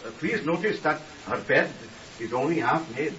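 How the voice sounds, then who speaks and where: An actor playing an earnest, stiffly formal young Welsh scholar in a 1950s radio drama, polite and deferential with singsong phrasing. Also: male voice, on the high side, expressive, normal speed, a bit bright, far from the mic. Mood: earnest, insistent. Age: young adult. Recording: muffled hissy old radio recording, off mic.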